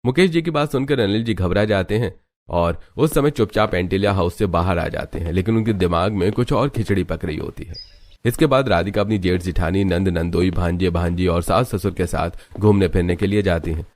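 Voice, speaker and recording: A man's voice: low-pitched, fairly quick, a little mellow, no room sound. A man narrating a scripted moral story as a storyteller.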